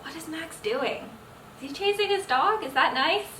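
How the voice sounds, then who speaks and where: Bright-voiced young woman reading to children. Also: very high voice, moderate pace. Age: young adult. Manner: expressive, engaging children with frequent questions. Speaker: medical student reading a picture book to children. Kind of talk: children's read-aloud storytelling. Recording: roomy echo, distant mic, faint noise.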